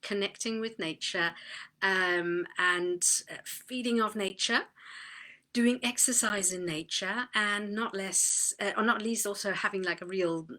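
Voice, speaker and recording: A woman from Denmark giving a relaxed, chatty live video talk from a small home office, with many fillers and restarts. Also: medium pitch, unhurried. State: tired. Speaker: host of an online community talk.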